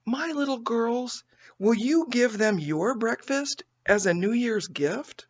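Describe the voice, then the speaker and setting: A woman acts out a kindly storybook mother, earnest and pleading, with an animated, expressive pitch. The recording is slightly dull and lo-fi. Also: slow. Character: kindly, earnest mother appealing to her young daughters. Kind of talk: storybook character voice.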